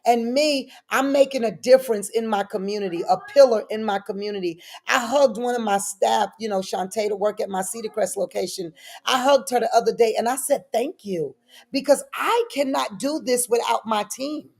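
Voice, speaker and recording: A middle-aged woman with a fairly high voice answers as an interview guest. She talks in an animated, personal way and leans on repeated phrases for emphasis. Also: normal speed, creaky. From born in Frankfurt, Germany; lived in New York City. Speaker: guest, daycare business owner.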